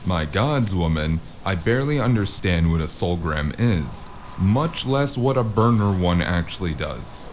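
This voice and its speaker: A man playing a gruff, wry cyberpunk drifter in a noir audio drama, with a dry, understated delivery and a gravelly voice. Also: low voice, sing-song, a little slow, hardly any pauses, a little mellow. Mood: sheepish, dry. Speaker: voice actor and first-person narrator. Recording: clearly noisy with ambient sound behind.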